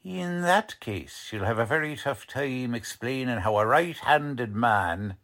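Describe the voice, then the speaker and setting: An actor playing a gruff, sarcastic, tough police lieutenant with an American accent in 1940s radio style, blunt and slangy. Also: male voice, mid-range voice, expressive, measured pace, fairly bright tone. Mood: probing.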